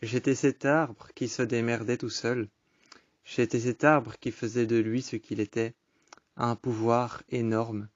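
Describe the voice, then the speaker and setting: A man's voice: mid-range voice, little pitch movement, measured pace, no room sound, muffled recording. A man reciting dramatic spoken-word poetry in a solemn, melancholic, incantatory manner. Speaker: poetry storyteller.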